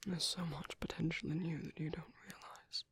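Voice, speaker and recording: A man playing a gentle, shy British vampire priest in a soft, intimate ASMR roleplay, with breathy whispering and a hesitant, tender manner. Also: on the high side, flat delivery, normal speed, no echo, full character voice. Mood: soothing, protective. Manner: hesitant, flustered, tender, formal wording.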